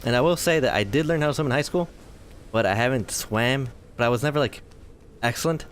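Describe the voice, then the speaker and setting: A man in his twenties giving rambling, self-deprecating commentary while playing a game, his voice tired and a little hoarse. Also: medium pitch, brisk, a little hiss or hum. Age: 20s. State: voice tiring, slightly hoarse. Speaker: gaming video host. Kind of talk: casual let's-play commentary.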